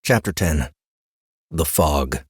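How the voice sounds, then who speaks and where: A male narrator reads a fantasy audiobook in a low voice. His storytelling is expressive and deliberate, and the studio sound is very dry, with no room around it. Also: husky, dry recording.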